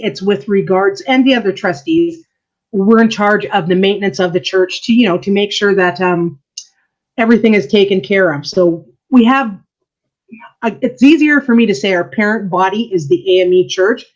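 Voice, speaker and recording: Woman historian, muffled lo-fi sound. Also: mid-range voice. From Canada. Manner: earnest explaining with frequent laughs. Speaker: historian and church archivist, interview guest. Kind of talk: casual interview answers. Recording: dull lo-fi sound.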